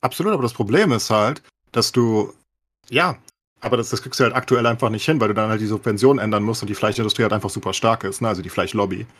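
A man speaks in a slightly low, husky voice. He talks very quickly, in emphatic, colloquial language, as in an opinionated podcast discussion. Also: fairly bright tone. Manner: emphatic, colloquial with English slang. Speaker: podcast co-host.

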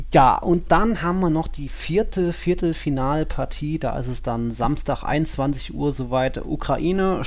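Gravelly-voiced man analysing football. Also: medium pitch. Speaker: football podcast co-host.

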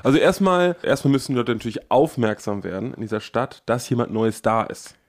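A man's voice: medium pitch, a little fast. A man chatting casually as a podcast host, laying out absurd plans in earnest detail. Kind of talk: casual comedic chat.

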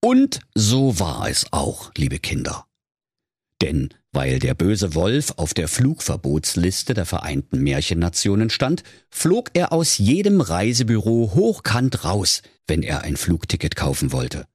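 A man's voice: low voice, husky, dry recording, studio quality. A man telling a scripted comic fairy tale in a mock-solemn storybook manner.

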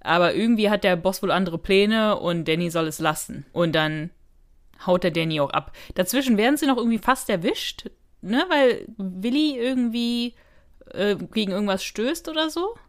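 A woman's voice: mid-range voice, lively intonation, moderate pace. Female podcast co-host. Mid-range voice, informal, agreeable, conversational review. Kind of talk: casual chat recapping and reviewing an audio drama.

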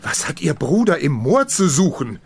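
A formal, demanding gentleman in a radio drama. His voice sits slightly high and his manner is stern and controlled. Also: very animated, normal speed, slightly rough, full character voice. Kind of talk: German radio play acting.

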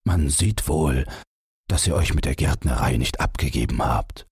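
A man's voice: a bass voice, moderate pace, a little mellow, breathy edge, no echo, studio quality, exaggerated voice. A man voicing an old peasant farmer who is weary and stern. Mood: patient.

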